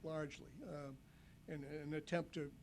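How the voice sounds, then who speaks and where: A man who once sat on a town committee, answering questions at a public meeting in a halting, wry way. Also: on the high side, flat delivery, a little mellow, slight background noise. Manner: halting, repeats words, wry. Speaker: former committee member.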